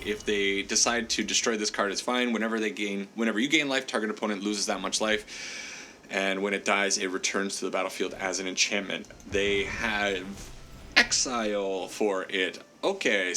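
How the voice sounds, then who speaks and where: A man's voice: fairly low pitch, bright, forceful tone. A man with a congested, stuffy voice casually commenting on a card game he is playing, thinking aloud, laughing at himself and apologizing to his opponent. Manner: chatty, self-deprecating, thinks aloud with frequent laughs. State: congested from a cold. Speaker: gameplay commentator.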